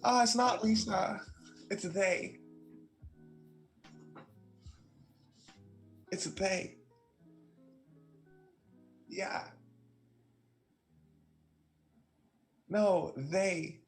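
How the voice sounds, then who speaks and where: A man putting on the voice of a soft-spoken young woman classmate, asking hesitant questions on a video call. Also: sits high, extremely slow, soft background music, pitched above the performer's own voice. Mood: teasing.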